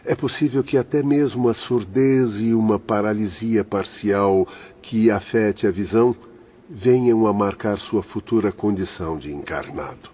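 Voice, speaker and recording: An actor playing a learned spirit doctor who explains things in a measured, husky voice. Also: male voice, fairly low pitch, mellow, lo-fi sound. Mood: explanatory. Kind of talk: audio drama acting.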